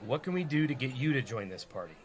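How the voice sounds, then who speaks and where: A man's voice: mid-range voice, sing-song, fast, natural voice. A man playing a young rogue and reluctant party leader in a comedy radio play, casual and persuasive. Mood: negotiating. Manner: casual, bargaining.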